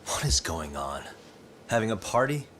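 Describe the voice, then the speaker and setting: A man's voice: fairly low pitch, big pitch swings, normal speed. A man acting a cool, stubborn private detective in an English-dubbed game cutscene, with a dry, sardonic, understated manner. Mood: casual. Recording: music behind the voice.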